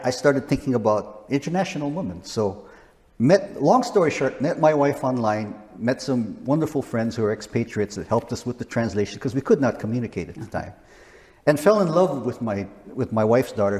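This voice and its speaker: A man's voice: mid-range voice, animated, moderate pace, darkish tone, in a large hall. A man in his sixties, a missionary, talking casually in an interview with frequent restarts. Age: 60s. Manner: rambling, frequent restarts and chuckles.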